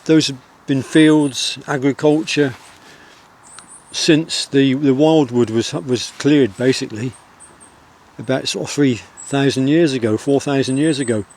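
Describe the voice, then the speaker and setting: A middle-aged man with a Wiltshire country accent, vlogging outdoors in the countryside and reflecting in a soft, rambling way full of pauses. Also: mid-range voice. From England. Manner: soft-spoken, rambling, with many pauses and fillers.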